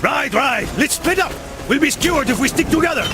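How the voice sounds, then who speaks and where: A man's voice: very high voice, expressive, fairly quick, noisy background. An actor playing a boastful, wisecracking and jumpy medieval crossbowman in a video game, with flippant, exclamatory banter. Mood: urgent. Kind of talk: video game dialogue acting.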